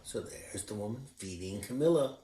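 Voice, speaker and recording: A man reading a picture book aloud to children as a teacher, expressive and encouraging, pointing out the pictures. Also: on the low side, normal speed, recorded from a distance, slight background noise. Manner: expressive, encouraging storyteller who shows pictures. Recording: echoey room.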